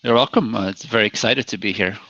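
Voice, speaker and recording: A man in his thirties from Belgium, speaking relaxed in an interview with many ums and uhs. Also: on the low side, quick pace, no room sound. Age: 30s. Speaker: guest, software founder. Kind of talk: conversational interview. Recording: dull lo-fi dry audio.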